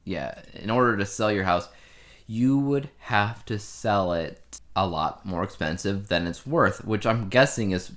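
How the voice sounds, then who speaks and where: A man's voice: lowish voice, lively intonation, unhurried, a bit bright. A man co-hosting a casual podcast, explaining things in a conversational way. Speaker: podcast co-host. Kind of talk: casual two-person podcast chat.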